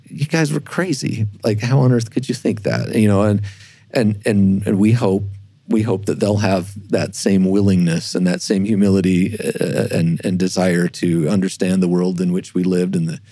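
A man in a reflective unscripted discussion as a church historian, speaking haltingly and repeating words as he thinks aloud. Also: sits low, fairly even intonation, dark tone, no room sound. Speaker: church historian, podcast guest.

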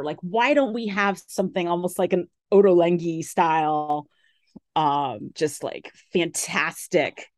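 A woman restaurant columnist chatting on a radio interview, full of "like" and "you know" fillers, with an enthusiastic tone whose pitch swings up and down. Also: no echo, studio quality. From based in San Francisco area. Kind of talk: casual radio interview chat. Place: radio studio.

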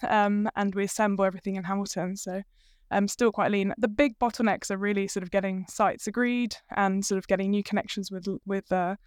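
British woman in her 30s talking loosely, lots of ums, smooth and clean, dry sound. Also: medium pitch, no echo. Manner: chatty, many fillers and hedges. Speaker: guest, company co-founder. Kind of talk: casual interview talk.

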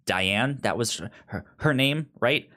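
A woman's voice: extremely low pitch, animated, takes it slowly, a bit bright. A woman co-hosting a casual podcast, rambling with lots of fillers and laughing as she talks about a show. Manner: rambling with many "like" fillers and acted-out quotes. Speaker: podcast co-host. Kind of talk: casual chat.

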